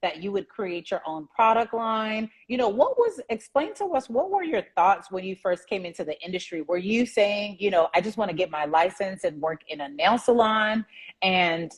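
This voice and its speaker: Woman host, low-pitched and husky, encouraging interviewer. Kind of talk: casual interview.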